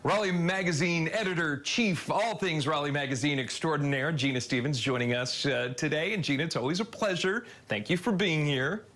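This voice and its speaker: A middle-aged man anchoring a TV studio interview, friendly, with a few halting restarts. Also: on the high side, a bit bright. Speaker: news anchor.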